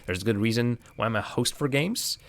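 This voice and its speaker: A man's voice: mid-range voice, a little fast, no room sound. A young man from Latvia, not a native English speaker, thinking aloud as he plays a game on a livestream and answers chat. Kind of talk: casual live gameplay commentary.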